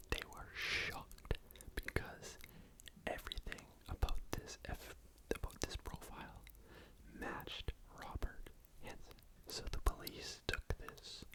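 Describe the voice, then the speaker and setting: A person whispering a story in an informal ASMR style, breathy and unvoiced, repeating words for emphasis. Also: medium pitch, poor audio. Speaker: ASMR host telling a true crime story.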